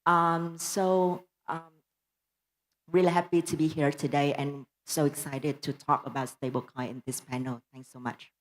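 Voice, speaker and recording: A woman's voice: deep voice, flat delivery, measured pace. A woman with a Vietnamese accent introducing herself on a conference panel, polite with many uh fillers.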